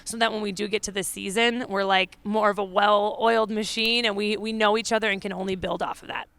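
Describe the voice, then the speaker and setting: A woman's voice: mid-range voice, steady tone, brisk, creaky, fairly bright tone, clear, resonant tone. A woman speaking as a sports coach, answering an interview in a conversational style. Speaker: basketball coach being interviewed.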